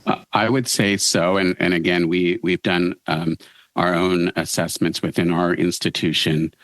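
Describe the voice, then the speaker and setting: A man giving formal expert testimony, measured and hesitant, with technical wording. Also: low voice, little pitch movement, a bit bright. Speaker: expert witness at a committee hearing.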